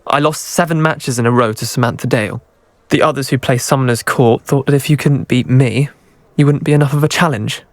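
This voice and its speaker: A man's voice: medium pitch, fairly even intonation, brisk, no room sound, lightly acted. Cocky British schoolboy wizard played by a man. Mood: grudging. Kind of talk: video game cutscene acting.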